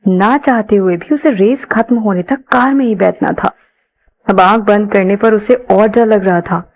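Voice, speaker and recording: A woman's voice: mid-range voice, unhurried, creaky. A woman narrating an audio story in a dramatic, scripted style.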